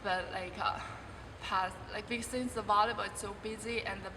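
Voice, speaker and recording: A woman's voice: mid-range voice, fairly even intonation, normal speed. A young Chinese woman, a college volleyball player, answering interview questions in careful non-native English with frequent restarts. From China. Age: 20s. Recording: distant voice in a reverberant room with clear background noise.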